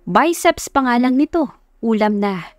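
A woman's voice: a little high, expressive, unhurried, a little mellow, no room sound. Woman narrating romance, close and dry. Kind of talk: scripted romance story narration.

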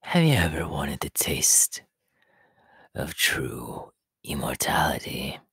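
A sly, power-hungry immortal villain for an ASMR roleplay. His voice is extremely husky and breathy, slipping into a hissing whisper. He draws his words out very slowly with menacing politeness, close and dry on the mic. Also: rumbling low, sing-song, fairly bright tone, no echo, heavily acted. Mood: conspiratorial. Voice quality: raspy, breathy, half-whispered hiss. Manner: slow, drawn-out, menacingly polite. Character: sinister, power-hungry immortal male body-stealer, sly and scheming. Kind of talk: ASMR character roleplay monologue.

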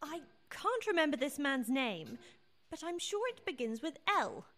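Radio play: sardonic wife, played by an actress, haughty, high voice, unhurried, drawn-out delivery. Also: female voice, very animated, fairly bright tone, exaggerated voice. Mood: bewildered. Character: proud, jealous, sardonic wronged wife.

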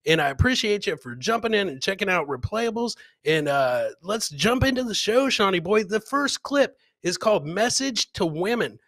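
A middle-aged man hosts a casual livestream on a close, dry mic. His voice is bright, forceful and a little high. He talks straight to his viewers with the phrasing of a hype announcer. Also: sing-song, normal speed, few pauses, no echo. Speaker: host of a live clip-reaction show. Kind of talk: casual livestream chat and commentary. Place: indoor home streaming setup. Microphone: close boom microphone with headphones.

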